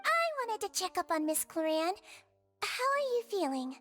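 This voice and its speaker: Very high, polite little nurse girl. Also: big pitch swings, moderate pace, a bit bright, faint music behind, exaggerated voice. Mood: polite, attentive. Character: small, polite, caring young nurse girl. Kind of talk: scripted video game voice acting.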